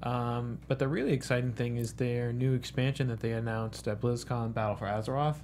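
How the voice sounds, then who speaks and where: A man's voice: medium pitch, steady tone, fairly quick, darkish tone. Man chatting casually with noise behind. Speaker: co-host on a gaming talk show. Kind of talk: casual panel chat.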